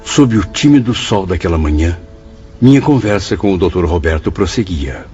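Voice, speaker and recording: A man's voice: deep voice, animated, normal speed, dark tone, dull audio, soft background music. A man acting an earnest, humble writer spirit in a radio drama, with a formal way of talking. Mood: amazed, reflective.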